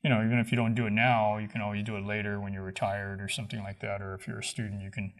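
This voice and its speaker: A man being interviewed as a stunt coordinator, answering in a relaxed, rambling way with many fillers. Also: on the low side, rapid-fire.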